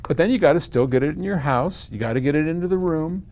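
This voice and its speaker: A man's voice: on the high side, fast talker, a little mellow. An older American man talking casually to the camera, explaining things in a frank, conversational way with folksy emphasis. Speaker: company head answering customer questions in a video series.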